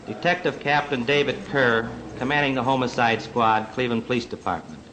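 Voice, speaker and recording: A man's voice: medium pitch, a little fast, a bit bright, soft background music, rough recording. A man giving a formal statement for radio as a police detective captain. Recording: old radio recording, faint noise.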